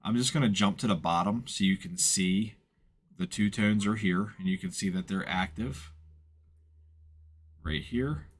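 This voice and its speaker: Man, lowish pitch, informal instructor, unscripted step-by-step walkthrough, matter-of-fact explaining. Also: measured pace, pauses often, husky. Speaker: instructor, software tutorial presenter. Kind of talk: unscripted step-by-step screen tutorial.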